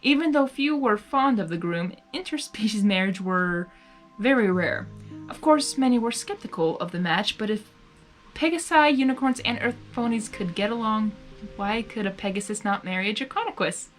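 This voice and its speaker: A woman hosting and narrating a live story reading in an expressive, giggly manner, reacting aloud as she goes. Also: medium pitch, moderate pace, faint music behind. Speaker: fan fiction reader and host.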